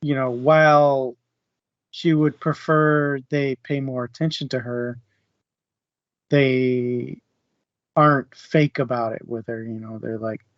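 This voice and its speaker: A man's voice: mid-range voice, steady tone, takes it slowly, long pauses, no room sound. A man co-hosting a casual book discussion, talking through his thoughts in a conversational way. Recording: dry, muffled online audio.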